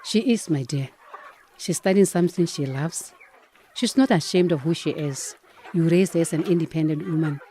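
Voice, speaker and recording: Woman playing mellow, wise old grandmother. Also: sits low, moderate pace, slight background noise, lightly acted. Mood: gentle wisdom. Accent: southern African. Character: wise, reassuring elderly grandmother, patient, supportive. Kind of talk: radio drama acting.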